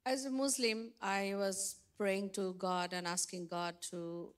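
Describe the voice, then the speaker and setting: Slow-speaking woman with a Bangladeshi accent. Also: medium pitch, fairly even intonation. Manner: simple short phrases in non-native English. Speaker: guest missionary speaker. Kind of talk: personal testimony with pauses for an interpreter.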